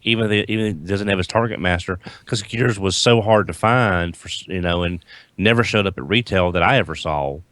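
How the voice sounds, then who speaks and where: A man with a slightly low voice chats casually as a podcast panelist. He is halting, often doubling back on the start of a sentence. The recording is very dry. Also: a bit bright, no room sound. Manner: halting, repeats words as he starts. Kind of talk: casual chat.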